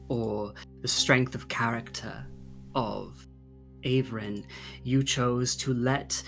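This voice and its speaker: Male game master, slow pace, middle pitch, dramatic pauses, deliberate narration, faint music behind.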